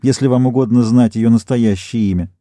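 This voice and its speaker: A man voicing a thin, quick, irritable Martian housewife with a sharp metallic voice, curt and impatient. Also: mid-range voice, no echo, lightly acted.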